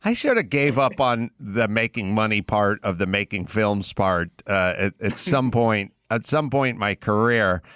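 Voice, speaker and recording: A middle-aged man hosting a casual podcast interview, asking questions in a relaxed, thoughtful way. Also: fairly low pitch, moderate pace, few pauses, smooth, dry recording, clean studio sound. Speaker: podcast host.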